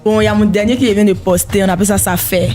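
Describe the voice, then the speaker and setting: Fast-talking woman rapper. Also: mid-range voice, steady tone, mellow, faint music behind. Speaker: rapper guest.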